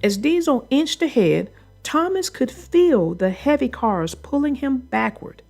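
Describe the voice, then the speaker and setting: A woman narrating a children's book in an expressive read-aloud storytelling style. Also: high-pitched, unhurried, creaky, faint music behind.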